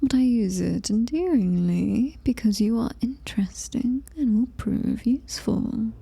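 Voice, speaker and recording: A British woman playing a coldly superior, menacing alien-human hybrid in a whispered ASMR horror roleplay, breathy, deliberate and taunting. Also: on the high side, mellow, full character voice. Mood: ominous, condescending.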